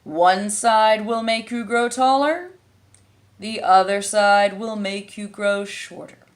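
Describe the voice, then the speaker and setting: A woman voicing a slow, curt and contrary caterpillar in a storybook reading. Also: medium pitch, fairly even intonation, natural voice.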